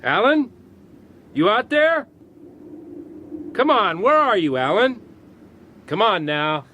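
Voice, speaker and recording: A man's voice: sits high, very animated, slow, full character voice. An actor playing an anxious, loyal man who worries for a troubled friend, earnest and agitated, in a radio drama. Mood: calling out. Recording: noisy radio drama recording.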